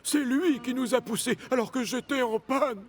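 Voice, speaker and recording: High-voiced, courteous gentleman engine. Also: moderate pace. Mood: grateful, modest. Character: polite, modest male steam locomotive. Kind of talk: children's cartoon dub.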